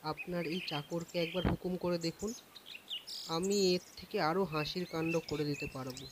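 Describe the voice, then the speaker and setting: Polite young storybook singer , acted out, mid-pitched. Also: steady tone, normal speed, very noisy, natural voice. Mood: confident, humble. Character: confident, deferential young male village singer. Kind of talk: audio story character acting.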